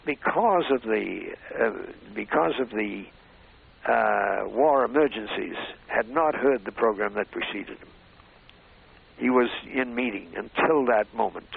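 A man reminiscing off the cuff in a hesitant, halting way, groping for words. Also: medium pitch, gravelly, husky. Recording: phone-like, band-limited radio sound.

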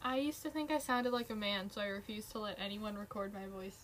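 A woman's voice: mid-range voice, fairly even intonation, brisk, fairly bright tone, slight background noise. A woman co-hosting a casual podcast, chatting in a rambling, playful way as she hops between silly topics. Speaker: podcast co-host. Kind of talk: casual chat.

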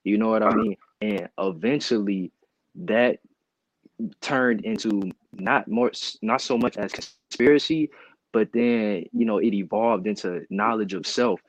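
A man's voice: lowish voice, measured pace, no room sound, highs cut off. A young man of nineteen, a podcast guest who tells his story in a casual, chatty way. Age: young adult. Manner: casual and chatty, full of fillers.

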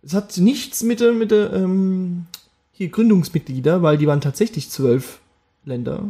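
A man's voice: high voice, normal speed, warm tone. A man co-hosting a podcast, chatting casually and jokingly, often trailing off mid-sentence. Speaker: podcast co-host. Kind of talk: casual chat.

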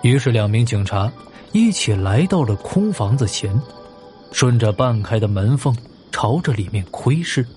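A male narrator tells a suspense story in a scripted, theatrical way. His medium-pitched voice is highly animated, with music running softly underneath. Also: a little slow.

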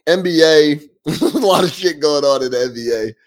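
A man's voice: on the high side, animated, normal speed. A young man co-hosting a casual sports podcast, loose and slangy, laughing often. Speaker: podcast co-host.

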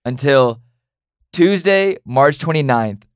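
A man's voice: mid-range voice, lively intonation, slow pace. A young man co-hosting a podcast, chatting casually in an upbeat, joking way. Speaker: podcast co-host.

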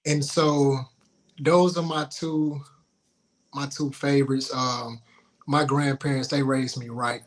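A man telling personal stories casually as an interview guest, in an informal, halting, colloquial way. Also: mid-range voice, flat delivery, rough recording. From Houston, Texas, United States.

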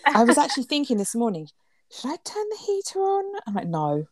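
A woman's voice: sits high, huge pitch swings, brisk, a little mellow, no echo. A woman co-hosting a podcast, making casual small talk and asking the guest questions. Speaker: podcast co-host. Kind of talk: casual friendly chat.

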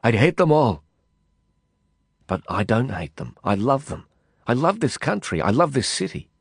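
A man narrating an audiobook voices a bitter refugee consumed by hate, speaking with quiet feverish zeal and American vowels touched by Arabic. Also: mid-range voice, sing-song, normal speed, slightly rough, no room sound, studio quality. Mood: insistent. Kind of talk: audiobook dialogue reading.

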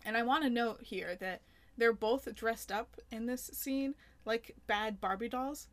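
A woman's voice: fairly high pitch, unhurried. Woman, podcast co-host, casual recap chat, quotes the characters. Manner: chatty, acts out characters' lines in quotes.

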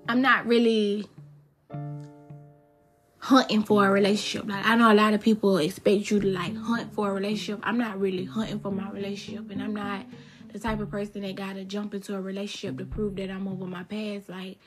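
A woman's voice: medium pitch, flat delivery, brisk. A woman of thirty hosts a solo podcast in a loose, chatty way. She leans hard on repeated phrases to drive each point home. Music plays steadily underneath her voice. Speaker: podcast host. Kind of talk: casual solo podcast talk.

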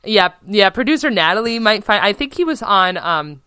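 A woman co-hosting a casual podcast, animated and chatty, with a loud voice, filler-heavy talk and frequent laughs. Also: medium pitch, moderate pace, smooth, no echo, studio quality. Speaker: podcast co-host.

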